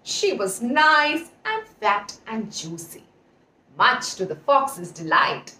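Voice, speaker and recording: A woman's voice: on the high side, very animated, takes it slowly, bright, forceful tone. A woman in her thirties with an Indian accent, telling a children's story expressively with dramatic emphasis. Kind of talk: animated storytelling for young children. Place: indoor room with patterned wall. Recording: echoey room, distant mic.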